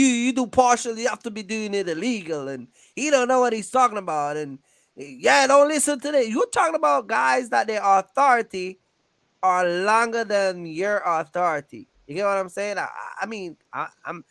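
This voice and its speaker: A man's voice: high voice, animated, normal speed, gravelly, a bit bright, no room sound. A man chatting casually and emphatically, often checking that the listener follows. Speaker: trucking business owner and video creator. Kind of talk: casual chat.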